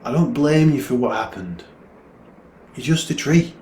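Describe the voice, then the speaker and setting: A man's voice: mid-range voice, lively intonation, unhurried, a little mellow, pitched above the performer's own voice, natural voice. Man reading as a wistful northern English mum, echoey. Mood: wistful, worried. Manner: wistful, confiding. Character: worried, loving mother pleading with a tree. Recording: roomy echo, clear background noise.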